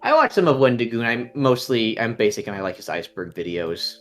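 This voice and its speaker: A man's voice: medium pitch, very animated, fairly quick, fairly bright tone, faint music behind. A young man with a nasal voice, chatting casually on a live stream, rambling with many ums and restarts. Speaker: stream co-host.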